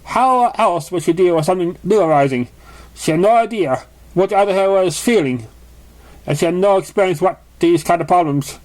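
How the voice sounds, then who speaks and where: A high-voiced man reads fiction aloud as scripted narration. He is an amateur reader who trips over the occasional word and carries on with the story. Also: brisk, a little mellow, rough recording. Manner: stumbles over words while reading.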